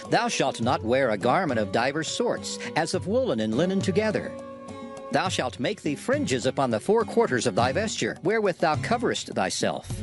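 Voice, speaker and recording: Solemn man reading scripture, very animated pitch. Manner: solemn, declamatory. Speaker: Bible narrator. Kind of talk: dramatized scripture reading in archaic English. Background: music under the voice.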